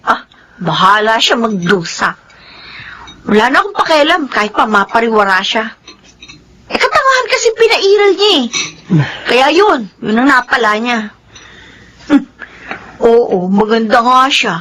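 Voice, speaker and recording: High, spiteful, sneering young woman. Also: big pitch swings, unhurried, pauses often, bright, forceful tone, heavily acted. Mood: scornful. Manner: scornful, haughty. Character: spiteful young actress sneering at her sister. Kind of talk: radio drama acting.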